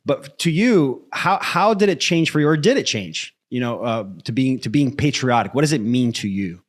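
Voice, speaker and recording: A man from Brazil who grew up in the United States, hosting a casual interview in a halting, circling way. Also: medium pitch, animated. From born in Brazil, grew up in the United States from age eight. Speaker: podcast host.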